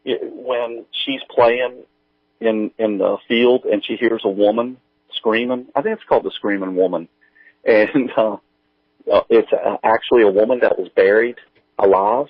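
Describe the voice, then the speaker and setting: Guy guest on a tinny phone-like line, lowish voice, talks casual and halting. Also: no echo. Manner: halting, hesitant, informal. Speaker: interview guest, writer. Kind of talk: casual interview chat.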